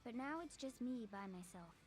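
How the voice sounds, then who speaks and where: An actor voicing a shy, earnest and lonely young boy in a video game, speaking softly and hesitantly. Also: medium pitch, lively intonation, moderate pace, close to an everyday voice. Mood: downcast. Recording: loud game music behind.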